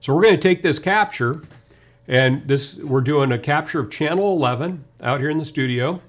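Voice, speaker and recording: A man's voice: a little high, normal speed. A man gives an informal technical demonstration as a webinar presenter, talking through each step conversationally. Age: 50s. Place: indoor video studio.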